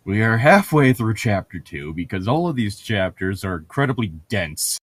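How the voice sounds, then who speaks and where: Low-voiced, sweary man reading aloud. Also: very animated, normal speed. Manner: sweary, self-interrupting. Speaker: co-host of a book read-aloud show. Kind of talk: reading a novel aloud with casual joking banter.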